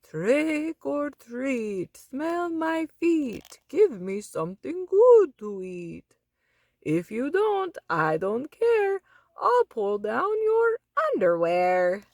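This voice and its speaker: Lady doing a dramatic kid frog for a picture book, high and swoopy, big excited exclaiming. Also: extremely slow, creaky, no room sound, studio quality, heavily acted. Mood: playful chanting. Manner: exclaiming, theatrical, stretched words. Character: excitable young boy frog, imaginative and dramatic.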